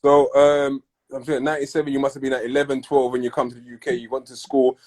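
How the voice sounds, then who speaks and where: A man's voice: mid-range voice, little pitch movement, a little mellow. A man, originally from Congo, hosting a casual interview over a video call, often repeating words as he searches for what to say. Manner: halting, repeats words while searching for phrasing. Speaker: podcast host.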